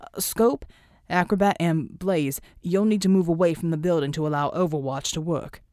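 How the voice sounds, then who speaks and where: A woman voicing a confident, courteous male superhero team leader in an audiobook, speaking in a measured, commanding way. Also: on the low side, darkish tone, no room sound, clean studio sound, natural voice.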